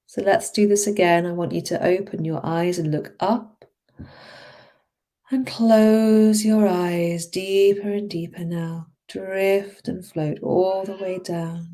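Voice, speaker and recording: A woman speaking as a hypnotherapist, leading a guided relaxation in a soothing, encouraging manner with drawn-out phrases. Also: lowish voice, a little mellow. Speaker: hypnotherapist guiding a relaxation session.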